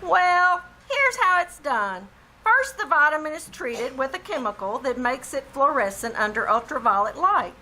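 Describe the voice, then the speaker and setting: A woman's voice: sits high, big pitch swings, normal speed, bright, forceful tone, heavily acted, low-quality recording. A woman playing an earnest, folksy family druggist and announcer in scripted 1940s radio style, with emphatic, careful salesmanship. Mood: earnest, assured. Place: theater stage in a live performance.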